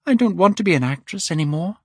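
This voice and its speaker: A man's voice: lively intonation, fast, darkish tone, husky, no room sound, full character voice. A man voicing a tender, desperate young woman who is a stage actress, in a dry audiobook recording. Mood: pleading. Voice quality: high, pleading.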